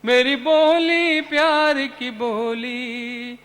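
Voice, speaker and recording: A man, a poet on stage, sings his verses in a melodic recital at a poetry gathering, with quick spoken remarks to the audience between the verses. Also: very high voice, fairly even intonation, a bit bright. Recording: long hall reverb with faint music.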